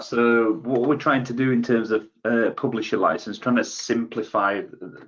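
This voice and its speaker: A man on a webinar panel explaining product changes in a steady, practical way, often repeating words as he goes. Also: lowish voice. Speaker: software product expert. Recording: dull lo-fi call audio.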